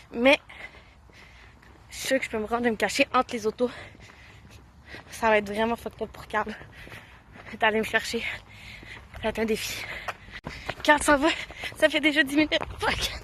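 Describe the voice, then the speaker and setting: A young woman with a Quebec French accent, a little stuffy from a cold, chats excitedly to her camera as she hurries along city sidewalks. Her voice sits a little high. Faint traffic hums behind her. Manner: chatty, excitable, breathless when hurrying. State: slight cold, sore throat. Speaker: hide-and-seek player vlogging. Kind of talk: casual self-filmed vlog narration. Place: outdoors on busy city streets.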